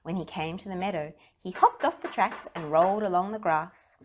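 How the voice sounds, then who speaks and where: A woman reading a children's story aloud in an expressive narrating style. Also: fairly low pitch, moderate pace, a little hiss or hum. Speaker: storybook reader.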